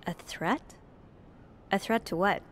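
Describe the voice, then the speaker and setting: An actress playing a friendly, inquisitive young hotel maid in a video game, talking casually with a slightly hesitant, teasing manner. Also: female voice, mid-range voice, takes it slowly, fairly bright tone, slight background noise. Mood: puzzled, earnest. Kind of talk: natural conversational game acting.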